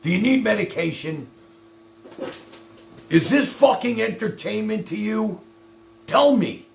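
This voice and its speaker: Male livestream host, gravelly and swinging widely in pitch; foul-mouthed, exasperated monologue aimed straight at viewers. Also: expressive, unhurried, darkish tone, a little hiss or hum. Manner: profane, exasperated, confrontational; addresses viewers directly with repeated rhetorical questions.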